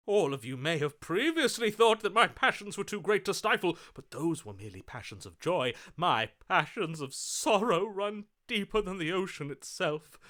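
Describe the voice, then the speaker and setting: A man's voice: a little high, pushed and bright, heavily acted. A man voicing a lovestruck, melodramatic romantic hero in a video game, speaking in a theatrical, florid, overwrought manner. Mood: grieving, grandiose. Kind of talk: video game character voice acting.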